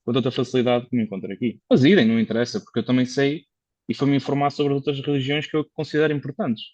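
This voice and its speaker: A Portuguese man in a relaxed podcast conversation, chatty and explanatory, often circling back with fillers as he talks. His voice sits in a middle pitch, and the recording is dry and a little dull. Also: quick pace, no room sound. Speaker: guest, car consultant. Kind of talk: casual conversational interview.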